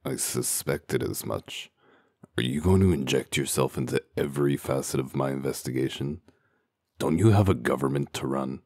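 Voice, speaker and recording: A man playing a gruff, cynical, humorless wolf detective in a comic dub, with a deadpan, blunt growl. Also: normal speed, no echo, studio quality. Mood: dry, blunt. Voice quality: very deep, gravelly growl. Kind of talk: dramatic comic dub acting.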